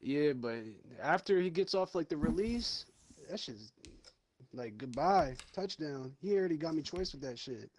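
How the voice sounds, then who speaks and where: A man's voice: on the high side, normal speed. A man in a casual group call, complaining bluntly and cursing about a game. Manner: blunt, profane, animated complaining.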